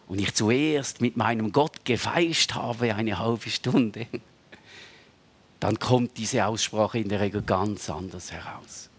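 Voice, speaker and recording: Male preacher, dry close sound, slow delivery, soft asides. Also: mid-range voice, dry recording. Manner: conversational, seeks agreement with frequent rhetorical tags, dips to soft asides. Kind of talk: sermon.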